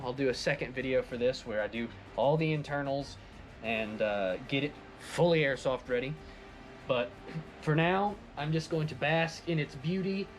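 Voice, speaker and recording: A man with a fairly high voice chats through a hobby project in an enthusiastic, conversational way. He sounds like a casual vlogger showing his work, with music playing faintly behind him. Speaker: hobbyist craft video presenter. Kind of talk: casual project recap vlog.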